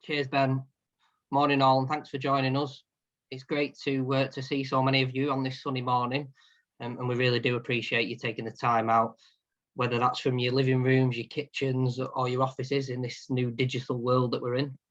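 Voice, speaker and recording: A man presenting a business webinar, reading prepared notes in a steady manner. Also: mid-range voice, moderate pace, muffled recording. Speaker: partner and insolvency practitioner.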